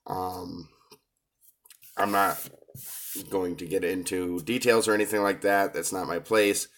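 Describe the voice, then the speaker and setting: A low-voiced man coming down with a cold talks through his take on a rap track. He pauses often and fills the gaps with hesitant ums. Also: steady tone, fairly bright tone. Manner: hesitant, many filler pauses. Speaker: reaction video host. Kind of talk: casual commentary on a rap song.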